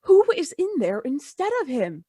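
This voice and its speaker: A woman's voice: high-pitched, very animated, moderate pace, mellow, slightly rough, no echo, clean studio sound, full character voice. A woman playing a frightened, loyal and deferential old household servant in a Gothic radio play. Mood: indignant, alarmed. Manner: deferential, agitated, emphatic.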